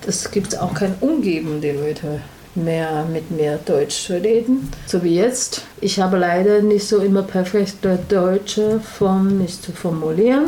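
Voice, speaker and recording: A woman's voice: mid-range voice, mellow, a little hiss or hum, low-quality recording. A woman whose first language is Chinese, with a Taiwanese Mandarin accent, a radio host and former opera singer, chatting in German with frequent grammar slips and hesitant fillers. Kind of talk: casual interview chat.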